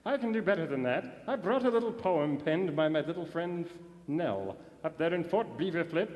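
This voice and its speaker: Man playing a pompous high-voiced Mountie in a hall. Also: lively intonation, moderate pace, a little mellow, lightly acted. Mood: cheerfully self-important. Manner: tags sentences with "eh", declaims verse grandly. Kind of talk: comic radio theater, poem recitation. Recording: live stage hall reverb, music behind.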